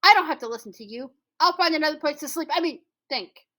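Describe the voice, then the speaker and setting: Folktale spider, male, played by a woman reading aloud, high voice, lazy schemer who boasts and gives emphatic orders. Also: lively intonation, fast talker, pushed and bright. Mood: indignant. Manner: bossy and dramatic, with emphatic commands.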